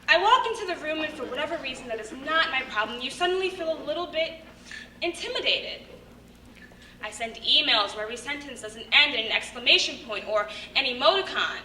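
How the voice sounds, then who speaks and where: Very bright, assertive young woman on stage. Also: sits high. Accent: American. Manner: assertive, emphatic, punchy statements with pointed pauses. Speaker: monologue performer. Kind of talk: theatrical spoken monologue on stage. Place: stage at a live show. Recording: echoey hall from far off, faint noise.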